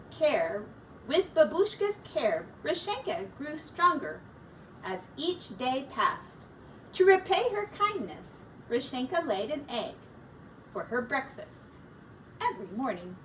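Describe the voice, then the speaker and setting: A woman reading a children's picture book aloud as a teacher, in a deliberate, expressive storytelling manner. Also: high-pitched. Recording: distant mic with faint background noise.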